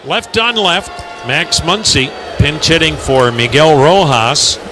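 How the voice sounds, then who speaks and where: An American man calling live baseball on the radio, mixing measured storytelling with clipped pitch calls. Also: expressive, music in the background. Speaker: baseball radio play-by-play announcer. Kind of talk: live commentary with conversational asides. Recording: clearly noisy ballpark broadcast.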